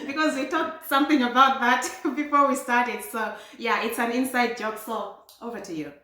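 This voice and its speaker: A woman's voice: fairly high pitch, moderate pace, sharp tone. A woman from Malawi, hosting a talk show in an earnest way and laughing between phrases. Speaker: host and empowerment coach. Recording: echoey room.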